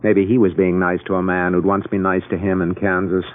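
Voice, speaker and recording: A man's voice: sits low, completely flat delivery, fairly quick, lightly acted. An actor playing a weary, wry American husband and father in a mid-century radio drama, dry and a little grandiloquent. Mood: dry, resigned. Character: wry, weary married lawyer and father, narrating his ordeal. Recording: tinny band-limited old radio broadcast, music behind.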